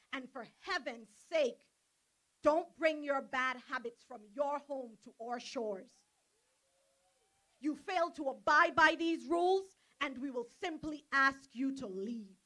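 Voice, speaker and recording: A woman's voice: high-pitched, sharp tone. A woman giving an impassioned, declamatory protest speech at an outdoor rally in a park. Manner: declamatory, building in force. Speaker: rally speaker.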